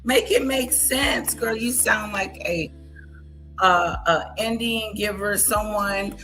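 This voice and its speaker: Blunt, bright-voiced woman commentator, unhurried. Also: mid-range voice, faint music behind. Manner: blunt, repetitive, mimics others' words. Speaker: commentary host. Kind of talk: reaction commentary on played clips.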